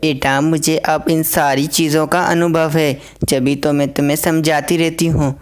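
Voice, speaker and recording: A gentle mother sparrow from a cartoon fable, acted by a woman, speaks with a clear, smooth voice. She is protective and anxious, explaining things with care. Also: deep voice, level tone, a little slow. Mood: protective, sorrowful.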